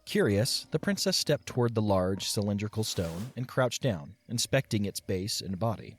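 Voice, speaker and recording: A man narrating an audio drama in a measured, expressive storybook style. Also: lowish voice, slight background noise.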